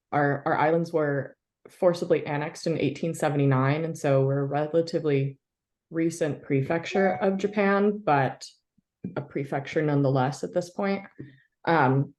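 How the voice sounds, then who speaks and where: A woman with a notably low voice speaks as an interview guest and historian. She talks in a reflective, halting way, pausing often to search for words. She is from the United States. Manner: thoughtful, halting, many fillers and soft laughs.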